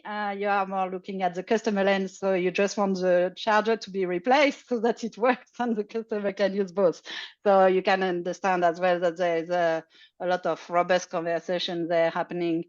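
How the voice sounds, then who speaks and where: Woman, lowish voice, explains at length on a muffled video call, casual and chatty. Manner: chatty, explanatory, frequent uh fillers, laughs lightly. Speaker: charging network representative. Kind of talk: casual online Q&A update. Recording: dull lo-fi audio.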